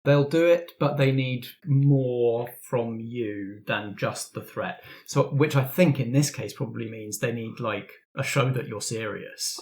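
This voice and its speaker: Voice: medium pitch, a little slow, few pauses, a little mellow. A game master explaining what a player needs to do, in improvised tabletop game talk.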